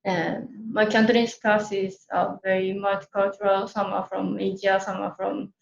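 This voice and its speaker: A woman's voice: mid-range voice, level tone, normal speed, lo-fi sound. A woman from Tokyo with a Japanese accent gives an informal online workshop presentation, hesitantly searching for words in her second language. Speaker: workshop speaker. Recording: roomy echo, clear background noise.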